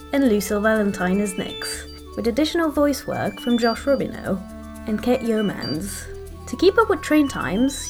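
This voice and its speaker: A woman reading scripted closing credits as a podcast announcer. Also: on the high side. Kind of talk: scripted narration. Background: music.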